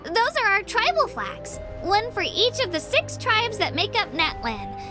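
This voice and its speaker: Very high, earnest little girl. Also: huge pitch swings, measured pace, full character voice. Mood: cheery, eager. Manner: earnest, cheery, childlike. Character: small, sweet, eager young girl, unsure of herself. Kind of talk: video game voice acting. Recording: game music behind.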